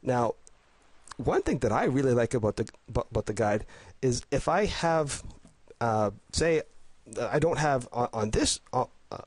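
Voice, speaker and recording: A man with a head cold talks through a game guide, his voice a bit stuffy and nasal. He sounds eager but keeps stumbling and restarting his sentences. His pitch sits in an ordinary middle range, and the recording is dry with no room echo. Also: animated. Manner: enthusiastic, halting, with many restarts and fillers. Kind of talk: casual gameplay voice-over commentary. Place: at home.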